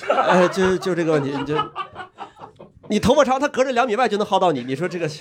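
Man, co-host, higher voice, joking, laughs at own punchlines, background noise. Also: sing-song, fast talker. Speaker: podcast co-host. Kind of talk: comic podcast banter.

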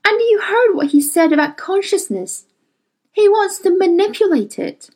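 A woman's voice: very high voice, big pitch swings, moderate pace, darkish tone, pitched above the performer's own voice. A woman voicing an indignant, principled woman scientist who argues heatedly.

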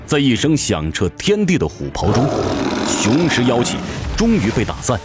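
A man's voice: medium pitch, wildly swooping pitch, moderate pace. A man narrating a fantasy audio drama from a script, with intense, theatrical storytelling. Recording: music behind the voice.

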